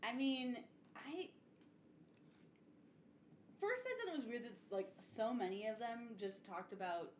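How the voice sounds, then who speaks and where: A woman's voice: a little high, animated, a little slow, long pauses. A woman chatting casually as a podcast panelist, giving opinions in an informal, wry way. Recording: roomy echo, faint background noise.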